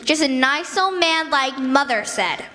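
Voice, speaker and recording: Female actor as headstrong little girl, very high bright voice, radio play, echoey hall. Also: expressive, a little slow, exaggerated voice. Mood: disappointed, sulky. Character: earnest, headstrong little girl, doubting then believing. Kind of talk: stage radio drama acting. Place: college campus stage performance in a hall. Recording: echoey hall, faint noise.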